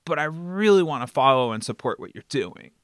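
A man hosting a conversational podcast interview in an animated way, often checking in with the listener as he talks. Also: mid-range voice, a little fast, dry recording, studio quality. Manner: animated, frequently checking in with "right?".

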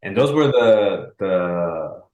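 A man's voice: sits low, expressive, darkish tone, echoey room, highs cut off. A young man, Spanish his first language, from El Salvador, talking earnestly as an interview guest with frequent restarts. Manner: earnest, halting with restarts and small laughs. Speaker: guest, author. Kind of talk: casual interview.

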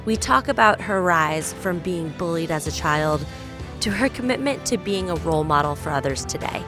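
A woman's voice: on the low side, lively intonation, moderate pace, over music. A woman hosting a podcast, reading an earnest introduction and asking interview questions. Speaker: podcast host.

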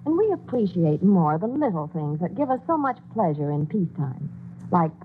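A woman's voice: on the low side, sing-song, normal speed, a little hiss or hum. A woman giving a chatty, persuasive scripted ad spot on an old radio show, heard as a tinny broadcast. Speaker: commercial spokeswoman.

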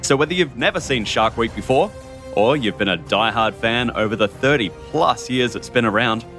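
A man's voice: medium pitch, expressive, normal speed, a bit bright, faint music behind. A man hosting a podcast as a marine biologist, reading an upbeat intro and then explaining at length.

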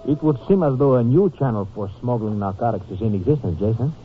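A man's voice: medium pitch, expressive, fast talker, music in the background, close to an everyday voice. A man acting a courteous, earnest Mexican police officer with a Mexican accent and formal, careful phrasing in an old-time radio drama. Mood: formal, informative. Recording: noisy, narrow-band old radio recording.

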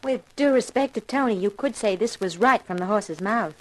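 An actress playing a poised, alluring young femme fatale with a coy, self-assured manner in a 1950s radio drama. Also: female voice, mid-range voice, expressive, normal speed, slight background noise, natural voice, low-quality recording.

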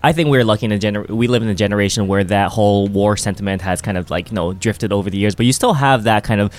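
A man's voice: on the low side, quick pace. A young man from Hong Kong, a native Japanese speaker, chatting casually and peppering his talk with filler phrases like "you know". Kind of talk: casual conversation.

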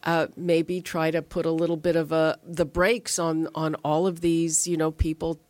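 A woman's voice: deep voice, little pitch movement, normal speed, a little mellow, no room sound. A woman hosting a live radio call-in show in a conversational style, often searching for her words.

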